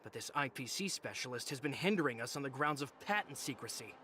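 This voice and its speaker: A man's voice: mid-range voice, expressive, quick pace, pushed and bright, slight background noise. An actor playing a testy, by-the-book craftsman in a video game, firm and exasperated as he explains. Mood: firm, explanatory. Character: testy, by-the-book male craftsman inspecting cargo.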